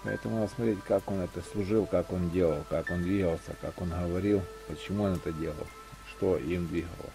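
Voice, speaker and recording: Low gravelly man on voice chat. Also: steady tone, a little fast, music in the background. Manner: rambling, searching for words. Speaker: chat participant. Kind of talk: casual religious discussion. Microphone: walkie-talkie voice chat app.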